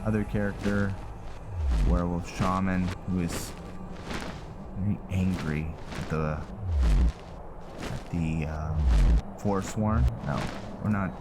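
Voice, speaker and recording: A man with a low voice narrates his gameplay casually over loud music. He takes his time, musing and pausing as he works things out aloud. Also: fairly even intonation. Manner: musing, halting, thinking aloud. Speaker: gamer narrating his own playthrough. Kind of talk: casual game commentary. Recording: loud game music behind the voice. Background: loud game music.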